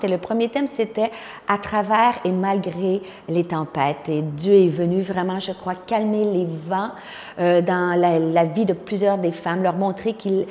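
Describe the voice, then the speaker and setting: A woman's voice: on the low side, darkish tone, big-room sound. A woman from Quebec in her fifties with a Québécois French accent, a pastor telling her testimony earnestly, with frequent fillers. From Quebec, Canada. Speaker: pastor and speaker. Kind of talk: personal testimony.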